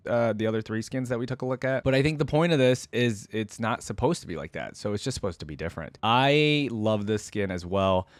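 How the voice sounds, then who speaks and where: A man's voice: on the low side, dry recording. A man giving casual, enthusiastic reaction commentary as a video host, thinking aloud in an informal way.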